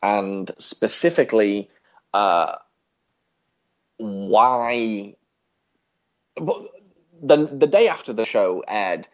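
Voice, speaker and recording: A man's voice: on the low side, extremely slow, long pauses, highs cut off. A man co-hosting a casual podcast, speaking hesitantly and often repeating words as he thinks. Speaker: podcast co-host. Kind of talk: casual podcast chat about a TV show.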